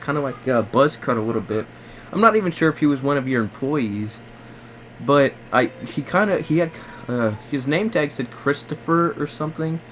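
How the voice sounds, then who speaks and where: Hesitant, rambling man on a noisy call. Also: fairly high pitch. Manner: hesitant, rambling, repetitive, polite. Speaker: prank caller posing as a customer. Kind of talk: phone complaint conversation. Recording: faint background noise on recorded call.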